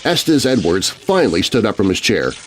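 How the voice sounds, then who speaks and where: A man's voice: fairly low pitch, sing-song, normal speed, a little hiss or hum. A man narrating a scary story for children in a theatrical, suspenseful, scripted style. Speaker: narrator and host.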